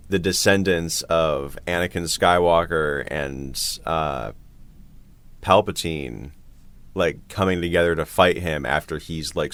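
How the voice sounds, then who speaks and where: A man chatting casually about his creative work as a composer, in a halting, hesitant way. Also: extremely low pitch, fairly bright tone, no room sound. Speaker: composer for an audio drama.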